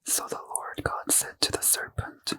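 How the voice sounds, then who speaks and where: A man reading a bedtime scripture story in ASMR style, in a soft unvoiced whisper. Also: unhurried. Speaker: ASMR reader.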